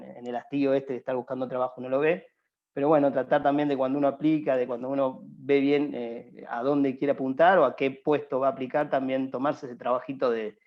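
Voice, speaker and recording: Rambling Argentine man on a muffled call. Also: mid-range voice. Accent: Argentine Spanish. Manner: rambling, full of filler phrases and restarts. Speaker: panelist at a careers workshop. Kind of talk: informal advice talk to students.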